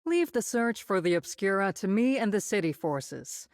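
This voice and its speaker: A woman playing a stern, composed colonel in a video game, speaking formally and with measured authority. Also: mid-range voice, very animated, darkish tone, no room sound, studio quality. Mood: calm, formal. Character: stern, composed female colonel commanding soldiers. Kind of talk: video game voice acting, military briefing.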